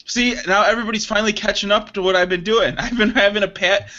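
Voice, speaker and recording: A man on a loose podcast chat throws out teasing wisecracks. His voice is high and bright, with a sharp edge. The recording is dull and lo-fi. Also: fairly even intonation, fast talker. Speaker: podcast co-host. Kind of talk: casual joking chat.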